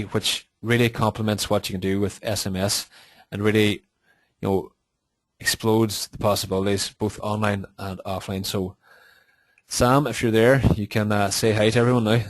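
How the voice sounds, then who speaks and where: A man hosts an online webinar and introduces his guest in an easygoing, conversational tone. His voice is on the low side and sounds dry and close on a computer mic. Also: no echo, low-quality recording. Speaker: webinar host.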